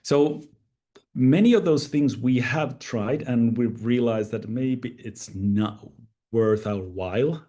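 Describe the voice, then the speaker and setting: A man's voice: medium pitch, takes it slowly, dark tone. A man presenting at an online conference, thoughtful and prone to restarts.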